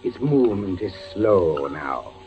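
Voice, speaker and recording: Slow, low-voiced spectral inquisitor. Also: male voice, very animated, soft background music, exaggerated voice. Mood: quietly menacing. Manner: deliberate, ominous, measured. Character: cold, menacing spectral male inquisitor. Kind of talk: 1940s radio suspense acting. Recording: muffled, lightly noisy 1940s radio recording.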